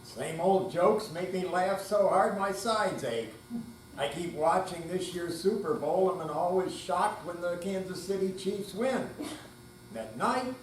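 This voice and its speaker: An older amateur stage reader delivers lines in a dramatic reading. The voice sits far from the microphone in a very echoey room, with a faint hum underneath. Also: mid-range voice. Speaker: readers theater performer. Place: hall at a senior center.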